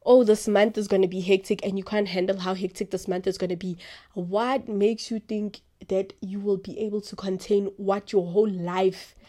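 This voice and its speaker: A young woman hosting a podcast, talking about faith in a chatty, rambling way full of fillers, with a naturally deep voice. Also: level tone, normal speed, warm tone. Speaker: podcast host. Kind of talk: casual faith talk.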